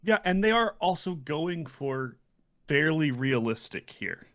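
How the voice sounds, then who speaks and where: A man's voice: a little high, sing-song, measured pace, a bit bright. A man co-hosting a podcast in casual review chat, explaining things and qualifying his points as he goes. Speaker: podcast co-host.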